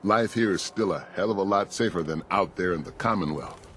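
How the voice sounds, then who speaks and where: Deep-voiced folksy American pastor. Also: male voice, a little fast, a bit bright, a little hiss or hum. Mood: friendly. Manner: folksy, sympathetic. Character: kindly, folksy male small-town pastor. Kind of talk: video game dialogue acting. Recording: voice over game music.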